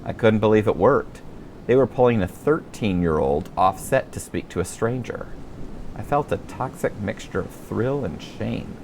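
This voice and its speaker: A man reading his own memoir as a scripted audiobook, telling it in a wry, expressive storyteller's manner. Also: on the low side, moderate pace, vocal fry, slight background noise, low-quality recording.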